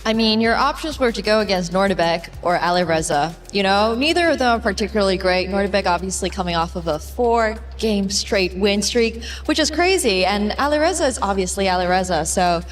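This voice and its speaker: A woman's voice: medium pitch, animated, a little fast, hardly any pauses. A woman working as an analyst on a chess broadcast, explaining matchups in a conversational desk style.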